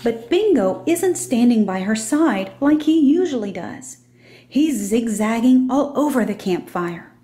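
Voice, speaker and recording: A woman reads a children's picture book aloud. Her voice sits fairly high and has a soft, mellow tone suited to story narration. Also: expressive, unhurried. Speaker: storybook reader.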